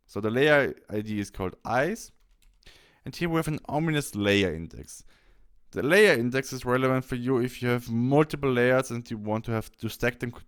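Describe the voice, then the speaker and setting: A man presenting a software tutorial, explaining things step by step in an instructional way. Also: mid-range voice, smooth, no room sound, clean studio sound. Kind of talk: step-by-step screen tutorial narration.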